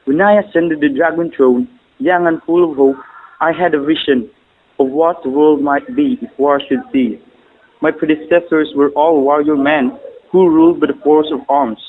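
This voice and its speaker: A man's voice: fairly high pitch, close to an everyday voice. A man playing a proud, idealistic emperor in a stage drama, delivering courtly lines in a grand, formal manner. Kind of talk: stylized stage drama recital of poetic lines. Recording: muffled phone-like audio.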